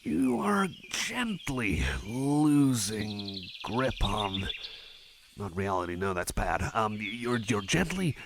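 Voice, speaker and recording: A man's voice: lowish voice, very animated, creaky, noisy background, full character voice. A man playing a shy, friendly cyborg chum in an ASMR roleplay, stammering, repeating himself and putting himself down. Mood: slow, soothing. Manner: stammering, halting, repeats phrases, self-effacing. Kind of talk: ASMR roleplay, personal attention.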